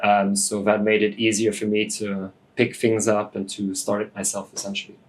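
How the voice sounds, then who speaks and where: A man in his late twenties, French-born and raised in Hong Kong, talking in a relaxed, thoughtful way as a DJ guest, with many fillers. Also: fairly low pitch, moderate pace. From France, raised in Hong Kong. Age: 20s. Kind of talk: casual interview.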